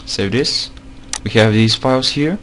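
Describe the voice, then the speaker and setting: A man's voice: mid-range voice, a little slow, over loud music. A man who is not a native English speaker, giving a screen-recorded tutorial on building game mods. He talks hesitantly, with many fillers and repeated words. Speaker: game modding tutorial maker.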